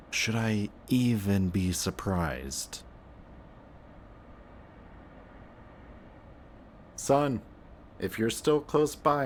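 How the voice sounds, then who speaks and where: A man playing a wounded, protective antihero who insists he is a villain, in an emotional, intimate audio roleplay with a halting delivery. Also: on the low side, slight background noise, heavily acted. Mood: angry, bitter. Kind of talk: emotional audio roleplay acting, addressing the listener.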